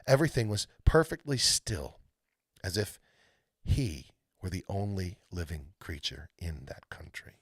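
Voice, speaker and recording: A man reads a children's storybook aloud with a deep, highly expressive voice. He swings widely in pitch and uses deliberate, dramatic pauses. The recording is close and dry. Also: husky, no room sound. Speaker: read-aloud storyteller hosting a live stream. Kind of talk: animated storybook narration.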